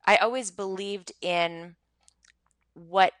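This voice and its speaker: A woman's voice: medium pitch, slow, a bit bright, no echo, clean studio sound. A woman in her thirties from Montreal, a podcast guest talking frankly and reflectively about her life, with many ums and restarts. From Montreal, Canada. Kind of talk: candid personal storytelling.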